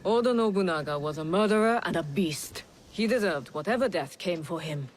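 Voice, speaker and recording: An actress playing a stern, vengeful ally in a video game, firm and emphatic. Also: female voice, fairly low pitch, lively intonation, a little fast, slight background noise, close to an everyday voice. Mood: hard, satisfied. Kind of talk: dramatic video game cutscene acting.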